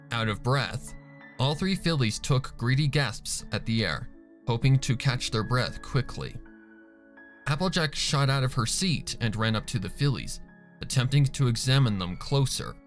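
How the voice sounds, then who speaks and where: A man narrates fiction in a dramatic storytelling style. His mid-pitched voice moves around with plenty of variation, and soft music sits behind it.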